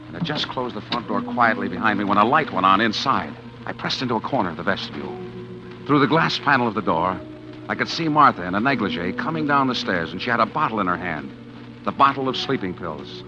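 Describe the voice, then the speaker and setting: A man's voice: on the low side, expressive, a little fast, close to an everyday voice. An actor playing a desperate, scheming man who narrates his own story in a 1940s radio drama. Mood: tense. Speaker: narrating lead character. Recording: dull old radio broadcast with hiss.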